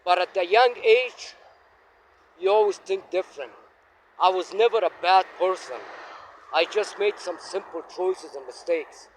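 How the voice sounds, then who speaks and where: A man in his fifties from Afghanistan, telling his life story to his phone camera while walking in an outdoor park, speaking in a halting, reflective way with many fillers. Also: sits high, a little hiss or hum, rough recording. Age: 50s. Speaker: vlogger. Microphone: phone held facing himself.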